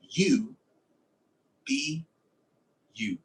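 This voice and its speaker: A man voicing gentle, wise fish parents from a picture book, tenderly encouraging, picked up from a little distance. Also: medium pitch, animated, extremely slow, pitched below the performer's own voice. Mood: warm, wise.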